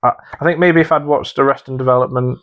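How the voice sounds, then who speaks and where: A man's voice: mid-range voice, steady tone, quick pace, dull audio. Man, mid-range voice, casual podcast co-host, hesitant rambling chat, comedy judging.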